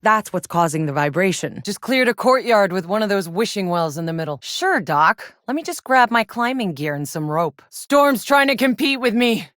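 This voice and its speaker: A woman's voice: medium pitch, very animated, moderate pace, few pauses, a bit bright, no echo, clean studio sound. A woman playing a tough, sardonic, battle-hardened soldier in a sci-fi video game, with a wry and blunt way of talking. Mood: wry, energetic.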